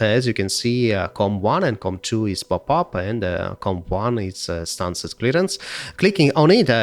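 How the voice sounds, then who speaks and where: A man narrating a software tutorial step by step, with frequent hesitations. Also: lowish voice, no room sound. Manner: halting delivery with frequent hesitations.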